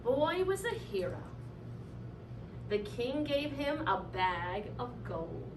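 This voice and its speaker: A woman reading a children's story aloud in an expressive, animated way, drawing out key words for effect. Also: on the high side, slow pace. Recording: roomy, distant mic, clearly noisy.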